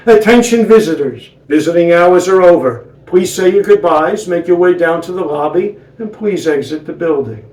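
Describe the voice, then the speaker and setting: A man imitating a hospital public-address announcement in a flat, formal manner. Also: a little high, moderate pace, hardly any pauses, far from the mic, natural voice. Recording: roomy, from afar.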